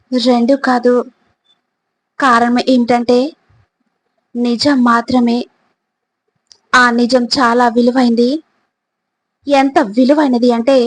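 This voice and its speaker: A woman with a slightly high voice delivers scripted motivational narration. Her delivery is very slow and deliberate, broken into short phrases. The pitch stays quite flat as she speaks straight to the listener. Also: poor audio. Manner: deliberate, pausing between short phrases, addressing the listener directly.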